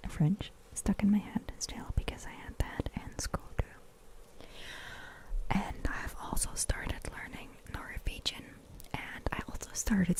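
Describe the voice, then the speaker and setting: Slow, gravelly whispering woman. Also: mid-range voice, poor audio. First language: German. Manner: whispering, reading out and answering chat. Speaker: ASMR streamer. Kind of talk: whispered ASMR livestream chat. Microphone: sensitive ASMR microphone.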